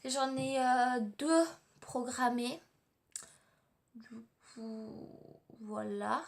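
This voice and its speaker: A tired, slightly hoarse young woman, rambling casually through a home vlog on her tablet, full of fillers and restarts. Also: on the high side, extremely slow. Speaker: vlogger. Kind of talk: casual daily vlog chat. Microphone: tablet camera.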